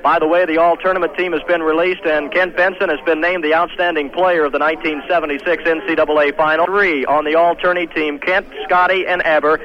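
Twenties male announcer, higher-pitched voice, reading stats on a dull 1970s radio recording. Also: steady tone, brisk, few pauses. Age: 20s. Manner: rattles off numbers with stumbles. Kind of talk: archival radio game stats rundown.

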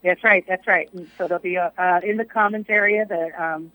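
A woman's voice: lowish voice, fairly even intonation, fairly quick, bright, forceful tone, no echo, low-quality recording. A woman, a college chancellor, talking casually on a radio show with lots of ums while losing her voice. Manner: upbeat, many fillers.

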